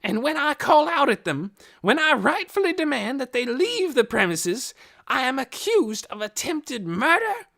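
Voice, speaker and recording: A man's voice: on the high side, huge pitch swings, a little slow, gravelly, bright, forceful tone, pitched above the performer's own voice, exaggerated voice. A reader voicing a tall, stooped, pompous man who speaks formally and with indignation in an audiobook. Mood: indignant. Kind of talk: audiobook character voice.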